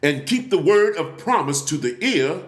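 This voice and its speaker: A man's voice: a little high, very animated. Man acting as a solemn orator, echoey room. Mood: resolute, emphatic. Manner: declamatory, deliberate, with rhetorical pauses and emphasis. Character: indignant, solemn 19th-century male abolitionist orator. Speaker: actor reading a historical speech. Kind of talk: dramatic oratory, formal speech reading. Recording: echoey, roomy sound.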